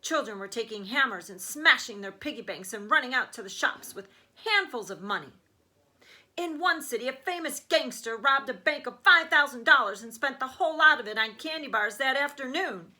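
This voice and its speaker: A woman's voice: high voice, animated, normal speed, bright, forceful tone. A woman reading a children's story aloud as a teacher would, with emphatic storytelling phrasing.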